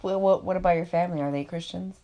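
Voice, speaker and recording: A woman in her twenties from Florida hosting a podcast, chatty, with quick follow-up questions. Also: on the low side, steady tone, lo-fi sound. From Florida, USA. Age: 20s. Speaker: podcast host. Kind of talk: casual interview.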